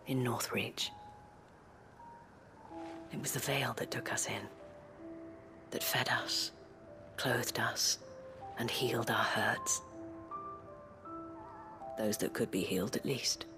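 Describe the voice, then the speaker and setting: An actress playing a gracious, worldly former courtesan in a video game cutscene, speaking softly and eloquently in a measured, genteel way. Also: female voice, a bass voice. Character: gracious worldly former courtesan, madame leading a fantasy town. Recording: music under the voice.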